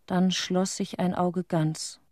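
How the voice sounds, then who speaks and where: An actress playing a tender, haunted middle-aged widow in a radio drama monologue, speaking in measured, restrained short sentences with pauses. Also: female voice, fairly low pitch, flat delivery, a little mellow, dry recording, studio quality. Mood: sombre, restrained. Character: grieving widow of a Chernobyl victim, tender, haunted.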